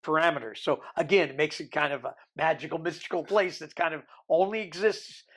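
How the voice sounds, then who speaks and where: Gravelly older man with mixed accent. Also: on the high side, brisk, fairly bright tone. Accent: American-tinged Birmingham English. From Isle of Man. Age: 60s. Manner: rambling, joking, self-deprecating. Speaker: interview guest. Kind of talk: storytelling interview.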